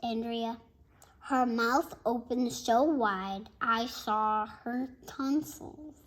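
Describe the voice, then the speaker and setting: A child reading a bedtime story aloud in an expressive, halting way, with asides to listeners. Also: mid-range voice. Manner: expressive, halting, shouts out dialogue. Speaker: storybook reader.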